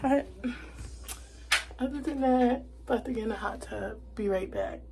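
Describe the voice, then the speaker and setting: A woman's voice: a little high, gravelly, noisy background. A young woman in her twenties chatting casually to her phone camera, rambling with fillers. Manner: slow, relaxed, rambling with fillers. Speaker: vlogger. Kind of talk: casual vlog chat. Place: small cabin rental indoors. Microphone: phone held at arm's length.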